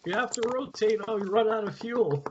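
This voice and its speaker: Man playing high-pitched commando leader, noisy audio. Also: expressive, a little fast, lo-fi sound. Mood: businesslike. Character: male commando leader giving orders. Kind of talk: amateur table read of a screenplay.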